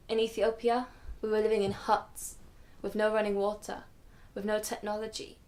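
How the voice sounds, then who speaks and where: A dignified, weary grandmother, acted by a woman recalls her journey for a radio drama. Her voice sits at a middle pitch, and she tells it in a measured, solemn way. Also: close to an everyday voice. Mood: patient, earnest.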